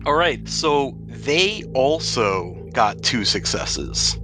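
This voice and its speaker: A man's voice: medium pitch, very animated, slow, over music. A man running a tabletop role-playing game as game master, describing combat vividly and explaining the rules.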